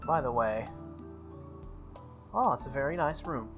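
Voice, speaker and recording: A man hosting a game playthrough, reading a visual novel aloud in an animated way with casual asides. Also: medium pitch, measured pace. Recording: music playing behind the voice. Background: game music.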